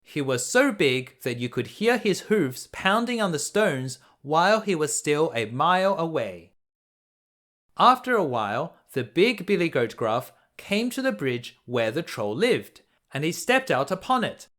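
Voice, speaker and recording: Man, children's storyteller, slow deliberate reading, animated expressive intonation, bright tone. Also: a little high. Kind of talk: reading a children's fairy tale aloud.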